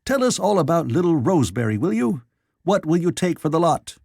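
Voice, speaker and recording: A man's voice: fairly high pitch, very animated, a little fast, gravelly, a little mellow, no echo, clean studio sound, pitched above the performer's own voice, full character voice. A man voicing a jovial, mocking newspaper editor in an audiobook, fervent and teasing. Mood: hilarious teasing. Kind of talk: audiobook character voice.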